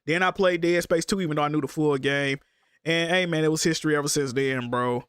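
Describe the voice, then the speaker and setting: A congested man with a fairly high voice talks in loose, slangy banter and swears a lot as he hosts a livestream. He sits close to the mic, and the sound is dry and close. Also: fairly even intonation, fairly quick, fairly bright tone, clear, resonant tone, dry recording. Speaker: streamer host. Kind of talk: casual livestream chat.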